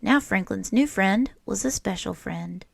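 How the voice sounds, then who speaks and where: A woman reads a picture book aloud for children. Her voice has heavy vocal fry and sits at a middle pitch. She tells the story expressively and deliberately, with very little room sound. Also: dry recording. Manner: animated, deliberate storytelling with acted character lines.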